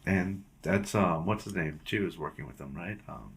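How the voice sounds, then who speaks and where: A man's voice: sits low, normal speed, vocal fry. A man hosting a podcast, asking questions in an easygoing, friendly way. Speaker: podcast host. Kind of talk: casual interview.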